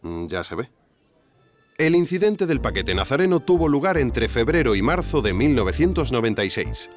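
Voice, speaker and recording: An actor playing an earnest investigative documentary narrator with an accent from Spain, reading scripted narration. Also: male voice, mid-range voice, sing-song, normal speed, faint music behind, natural voice. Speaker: narrator, host.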